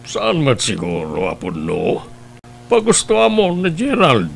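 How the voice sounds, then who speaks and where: A man's voice: medium pitch, wildly swooping pitch, a little slow, creaky, slight background noise, exaggerated voice. A man playing a grave, questioning older father of a grown daughter in a radio drama. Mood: measured, persuading.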